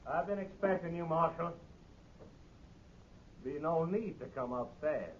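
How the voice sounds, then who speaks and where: An actor playing a coolly confident adversary in a 1950s radio western, speaking in a measured way. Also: male voice, fairly high pitch, lively intonation. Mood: cool, challenging. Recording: dull vintage radio recording.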